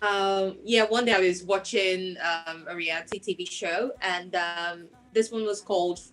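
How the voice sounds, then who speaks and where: A woman's voice: fairly low pitch, steady tone, a little slow, fairly bright tone, poor audio. A woman with a Nigerian accent, a singer-songwriter telling her personal story in an interview.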